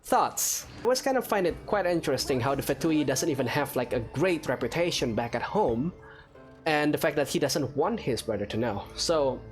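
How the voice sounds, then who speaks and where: A man's voice: fairly high pitch, lively intonation, normal speed. A man hosting a gaming video, giving casual, musing let's play commentary in a hesitant, rambling way. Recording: music behind the voice.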